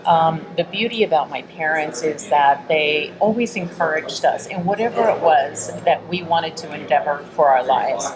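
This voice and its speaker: Earnest low-pitched woman from Texas. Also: animated, normal speed, hardly any pauses, poor audio. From Texas, United States. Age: middle-aged. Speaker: interview guest, entrepreneur. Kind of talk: conversational interview answers. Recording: busy crowd chatter behind. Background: crowd murmur.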